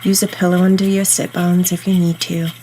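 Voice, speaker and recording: A woman guiding a meditation in a soothing, unhurried, instructional way, leaving pauses between phrases. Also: lowish voice, little pitch movement, slight background noise, low-quality recording. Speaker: meditation guide. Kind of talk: guided meditation narration. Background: soft ambient meditation music.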